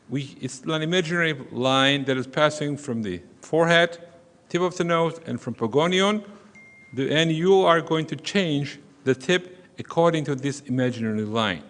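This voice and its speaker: A middle-aged man from Turkey with a Turkish accent, giving a measured, explanatory conference lecture as a surgeon. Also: on the high side, fairly bright tone. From western Turkey. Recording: long reverb of a conference hall.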